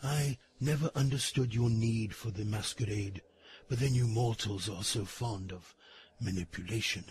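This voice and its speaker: Man playing low, mocking prince of darkness. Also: a little mellow, natural voice. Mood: sardonic menace. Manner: sardonic, haughty, unhurried. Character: mocking, imperious male dark prince of the underworld. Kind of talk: gothic audio drama acting.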